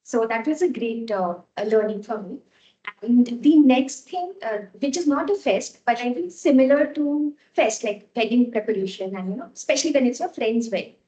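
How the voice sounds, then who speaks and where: A woman with a soft voice giving an impromptu speech, slow and hesitant with many fillers. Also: fairly high pitch, expressive, echoey room, muffled recording. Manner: slow, hesitant, laughs often.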